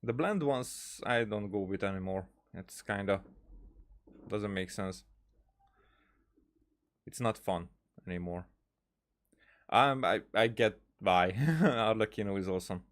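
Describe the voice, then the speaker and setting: Low-voiced man giving casual game commentary. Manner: halting, thinking aloud, repeats words. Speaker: gaming streamer.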